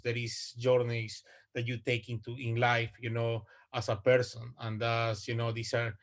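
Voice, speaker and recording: A man's voice: mid-range voice, fairly bright tone. Hesitant man presenting. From Ecuador. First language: Spanish. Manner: hesitant, many fillers and restarts. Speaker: university researcher giving a talk. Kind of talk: online presentation. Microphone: video call.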